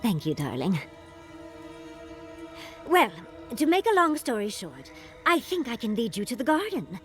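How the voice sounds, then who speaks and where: An actress playing a tiny, eager, self-assured scholar in a video game, chatty and theatrical. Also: female voice, fairly high pitch, wildly swooping pitch, unhurried, faint music behind. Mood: breezy, eager. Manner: theatrical, breezy. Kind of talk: scripted video game dialogue.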